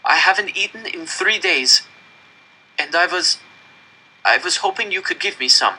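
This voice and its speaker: A man acts out a starving, desperate boy prisoner from a storybook. The voice is pitched high and strained bright, croaky and cracking, with halting, pleading delivery. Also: animated, heavily acted, rough recording. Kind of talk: storybook read-aloud dialogue.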